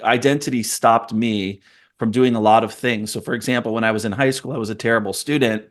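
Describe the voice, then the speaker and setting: A man's voice: mid-range voice, steady tone, a little fast. Thoughtful, rambling man hosting a podcast. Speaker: podcast host. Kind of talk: casual conversation.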